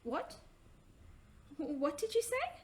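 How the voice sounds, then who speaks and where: A woman voicing a gentle, earnest young mother-to-be from ancient times in a children's story, kindly and questioning. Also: very high-pitched, big pitch swings, takes it slowly, slight background noise, voice pushed up, full character voice. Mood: bewildered.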